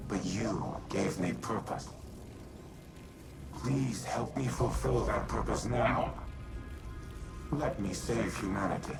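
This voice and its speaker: Game cast: a calculating old machine intelligence, deep and gravelly, formal cadence, heavy score behind. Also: male voice, slow pace, long pauses. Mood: resolute. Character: ancient male warmind AI, solemn and calculating. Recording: game audio in a reverberant space with loud music.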